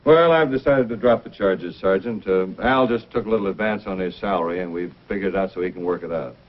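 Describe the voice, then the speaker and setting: A man's voice: deep voice, fast, close to an everyday voice. A man playing a plain-spoken, forgiving frontier employer in an old adventure series. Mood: matter-of-fact. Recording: dull, slightly noisy vintage recording.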